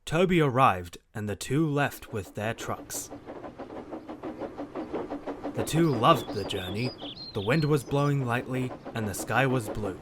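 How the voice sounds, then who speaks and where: Man narrating over clear background noise. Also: medium pitch, animated, unhurried, pauses often. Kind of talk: scripted children's story narration.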